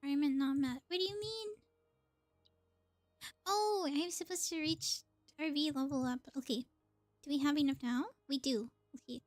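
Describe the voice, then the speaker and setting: A woman's voice: high voice, moderate pace, long pauses, dry recording. A woman live-streaming a video game, chatting casually and thinking aloud as she plays.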